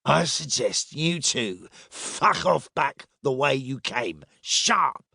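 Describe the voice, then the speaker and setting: A man voicing an irritable, hoarse Roman centurion in an audiobook, gruff and coarse in the way he talks. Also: medium pitch, very animated, slow, bright, forceful tone, no room sound, studio quality, full character voice. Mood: irritated.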